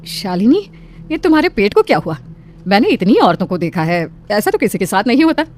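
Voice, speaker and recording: A woman voicing a worried, motherly middle-aged village aunt in a horror cartoon, speaking in an expressive, questioning way. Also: mid-range voice, fairly quick, close to an everyday voice. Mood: frightened. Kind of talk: dramatic Hindi cartoon story dialogue.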